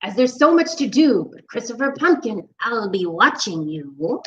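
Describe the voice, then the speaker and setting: A woman's voice: a little high, big pitch swings, normal speed, a bit bright, slight background noise. A woman doing a grumpy storybook witch who barks orders and scolds. Her voice swings sharply up and down. It is recorded in a roomy space, slightly dull. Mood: scolding. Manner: snappish and scolding. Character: bossy, grumpy female witch.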